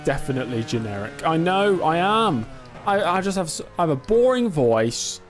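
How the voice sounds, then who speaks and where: A young man casually commenting on his own racing game in a live stream, joking and self-mocking, quick to get excited. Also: a little high, slight background noise. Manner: quickly excitable under pressure.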